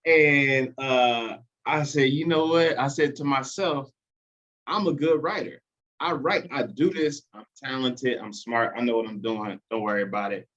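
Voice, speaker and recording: A man's voice: mid-range voice, unhurried, a bit bright. Easygoing man hosting, slightly dull sound. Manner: conversational, encouraging, laughs along. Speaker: podcast host. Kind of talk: casual chat.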